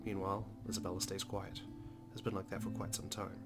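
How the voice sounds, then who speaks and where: A man with a low voice reads visual novel narration dramatically as a let's play host. Prominent music plays beneath his voice. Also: level tone, brisk. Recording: music clearly under the voice.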